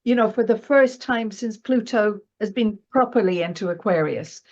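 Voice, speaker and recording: A woman's voice: mid-range voice, moderate pace, a little mellow. A woman speaking as an expert guest in a conversational interview, emphatic and often checking in with the listener. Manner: emphatic, checks in with "right?" and "you know". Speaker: guest astrologer and market analyst. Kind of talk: conversational interview discussion of financial astrology. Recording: dull, lo-fi audio.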